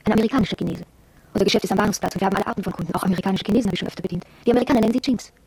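Proud young apprentice girl, husky, rapid, dry close sound, matter-of-fact, radio drama. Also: mid-range voice, darkish tone, no echo. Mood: intrigued, recounting. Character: headstrong, proud young shop apprentice girl, cool and yearning. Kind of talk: radio drama first-person monologue.

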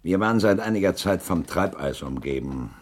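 A man's voice: low voice, lively intonation, normal speed, darkish tone. An actor playing a stiff, middle-aged male ship captain, giving clipped, formal and defensive answers at a hearing in a radio drama. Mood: guarded. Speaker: witness. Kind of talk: 1980s radio drama acting.

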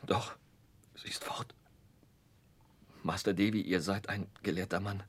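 An actor playing a humble, heartbroken working man, halting and pleading, in a 1960s German radio drama. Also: low-pitched, steady tone, breathy edge. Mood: distraught, pleading.

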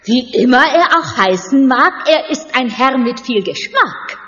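A woman's voice: high-pitched, lively intonation, measured pace, sharp tone, exaggerated voice. An actress playing a coquettish, haughty stage leading lady with a theatrical delivery in a German audio drama. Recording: dull sound in a reverberant hall.

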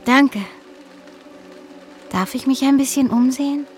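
High-voiced, searching young woman. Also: steady tone, measured pace, a little mellow, soft background music. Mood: probing. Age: young adult. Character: long-lost abducted younger sister posing as drenched lost hiker. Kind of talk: naturalistic German radio drama acting.

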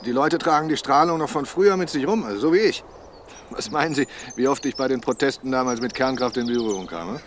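A man's voice: medium pitch, animated, fast talker, a little hiss or hum, lightly acted. A man playing a middle-aged anti-nuclear commune leader in a radio crime drama, curt and dismissive with a mocking brotherly tone. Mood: smugly folksy.

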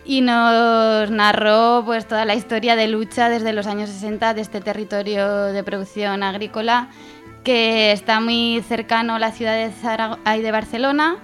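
A woman's voice: on the high side, flat delivery, normal speed, fairly bright tone, faint music behind. A woman with a Spanish accent from Spain, explaining things conversationally as a guest on a radio programme.

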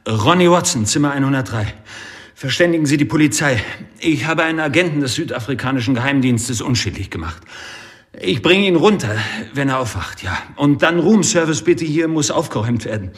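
A man's voice: medium pitch, lively intonation, fairly quick, close to an everyday voice. An actor playing an unflinching, devout South African sportsman in a German radio drama, composed and plain-spoken. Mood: brisk, composed. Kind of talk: German radio docudrama acting.

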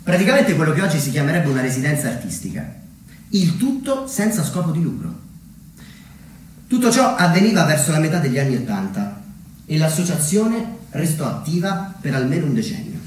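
A man delivering a scripted theatrical monologue as a storyteller, measured, with deliberate dramatic pauses. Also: a little high, sing-song. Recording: very echoey room, distant mic, slight noise.

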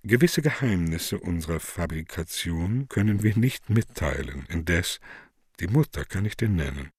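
A man plays a servile yet mocking devil in a radio drama. His voice is low and husky, with affected courtesy, recorded close and dry. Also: expressive, a little slow, a little mellow, no echo, exaggerated voice. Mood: pompous, mocking. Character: obsequious, sly, sarcastic devil with mock courtly manners. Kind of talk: theatrical radio play acting.

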